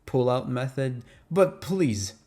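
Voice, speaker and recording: A man whose first language is Tagalog talks in a medium-pitched voice. He is answering fan questions in a casual, chatty way. His manner is candid and playful, and he swears freely. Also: expressive, takes it slowly, mellow. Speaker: ASMR audio creator answering fan questions. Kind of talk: casual Q&A chat.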